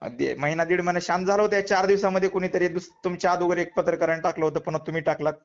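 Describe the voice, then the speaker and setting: A man's voice: high-pitched, racing. A man from Latur with a Marathwada Marathi accent, giving his side of a dispute emphatically in a recorded phone interview, often repeating phrases. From Latur, Maharashtra, India. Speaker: interviewee, kirtan performer. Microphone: phone call. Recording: muffled lo-fi phone call recording.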